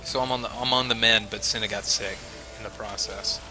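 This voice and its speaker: A man's voice: fairly low pitch, fairly even intonation, fairly quick. A man with a head cold and chesty cough, chatting to viewers in a rambling, self-mocking way while he plays a game. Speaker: streamer. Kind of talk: casual gameplay livestream chat. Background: game music.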